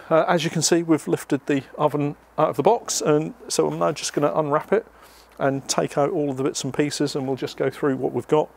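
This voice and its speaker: British guy doing a casual outdoor unboxing vlog, chatty and explains stuff, medium pitched voice. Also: creaky, darkish tone. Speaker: vlog host. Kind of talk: casual unboxing narration to viewers.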